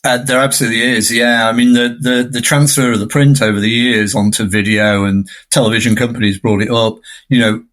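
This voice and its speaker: A man speaks as a guest commentator on film. His voice sits at a middle pitch. He is conversational and discursive, stressing his points as he goes. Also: steady tone, brisk, hardly any pauses, fairly bright tone.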